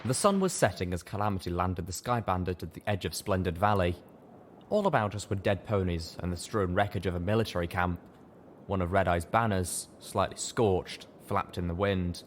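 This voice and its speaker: A man's voice: low voice, moderate pace, slight background noise. A man reading a first-person story as scripted audiobook narration. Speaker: audiobook reader.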